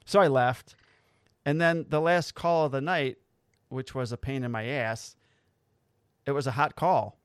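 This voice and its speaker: A man's voice: mid-range voice, fairly even intonation, a little slow, long pauses, clear, resonant tone, no echo. A man with a mid-range voice recounting a shift in casual, profane detail. He is blunt and wry, and the sound is dry and close, with no room behind it. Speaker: police officer and podcast host. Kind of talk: casual storytelling.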